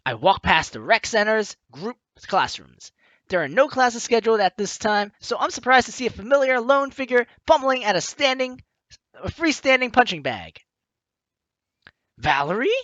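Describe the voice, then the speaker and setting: A woman's voice: mid-range voice, fairly bright tone, no room sound, highs cut off. A woman hosting a gaming video, reading game text aloud with playful, theatrical asides and casual commentary.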